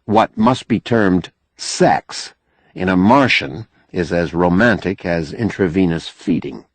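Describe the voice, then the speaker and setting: A man narrating a novel as an audiobook in a measured, expressive storytelling manner. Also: sits low, dry recording. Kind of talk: scripted literary narration.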